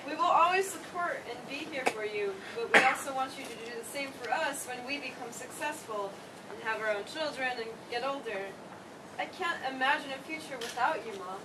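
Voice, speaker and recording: A distant, noisy stage recording carries a high-pitched young woman played by an actress. She is an earnest, emotional college student in a family play, pleading with her mother. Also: fairly quick, close to an everyday voice.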